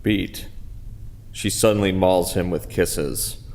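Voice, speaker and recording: A man's voice: low voice, takes it slowly, a little mellow. A man reading stage directions as scripted narration for a staged play. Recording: echoey theatre hall.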